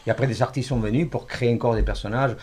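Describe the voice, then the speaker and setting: A man, a guest artist with as his language, telling work anecdotes in a halting, digressive way. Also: mid-range voice, steady tone, darkish tone, husky. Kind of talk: animated interview anecdotes.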